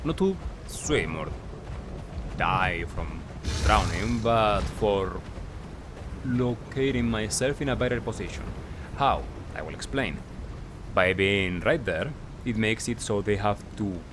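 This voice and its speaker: A man giving casual gameplay commentary as a recap, talking in short clipped phrases with pauses. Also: on the low side, background noise. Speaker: gaming video creator.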